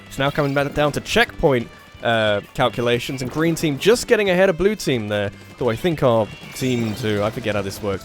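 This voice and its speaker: A man calls an online match in loose, rambling play-by-play, often stopping to correct himself. His voice sounds a little nasal and sits at an ordinary middle pitch. Soft music plays faintly underneath. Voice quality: slightly nasal. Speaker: tournament organiser and commentator. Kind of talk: casual live game commentary.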